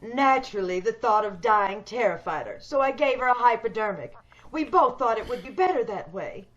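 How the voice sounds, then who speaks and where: A dull, muffled recording of a woman acting a sinister radio-horror villainess. She speaks in a fairly high voice, measured and coolly polite, with menace beneath every courtesy as she schemes for beauty. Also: animated, fairly bright tone. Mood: smooth, scheming. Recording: dull video-call radio play recording.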